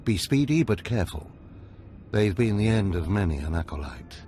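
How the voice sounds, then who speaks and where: An actor playing a stern, scheming older Sith overseer in a video game, speaking in a commanding, measured, formal way. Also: male voice, on the low side, big pitch swings, warm tone, faint music behind. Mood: stern, instructing.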